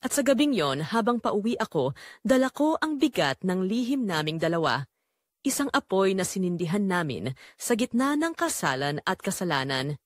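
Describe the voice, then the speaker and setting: Woman narrating drama, lively pitch swings. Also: moderate pace, no room sound, studio quality. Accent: Filipino. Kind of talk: scripted first-person dramatic storytelling.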